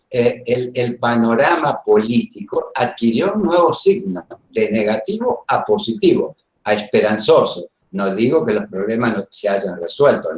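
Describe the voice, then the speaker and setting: A man with a fairly low voice speaks as a guest lecturer in an informal, reflective way. He pauses between phrases, and his voice comes with clear room echo. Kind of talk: informal spoken lecture opening. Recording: roomy, echoing online call.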